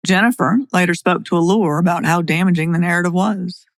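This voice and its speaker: A woman's voice: lowish voice, steady tone, a little fast, no echo. A middle-aged woman speaking as a podcast host and attorney, in a blunt, plain-spoken way. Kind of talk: scripted narration and opinionated podcast talk.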